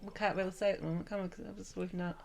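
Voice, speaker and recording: A woman, a mother chatting casually in a family vlog from a hotel room, agreeable and quick to chime in. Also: fairly low pitch, fairly even intonation, creaky.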